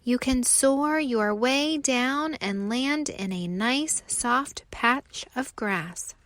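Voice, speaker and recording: A woman's voice: sits high, very slow, fairly bright tone, no room sound, pitched above the performer's own voice, exaggerated voice. A woman voicing an encouraging, helpful little leaf in a children's storybook, eager and supportive.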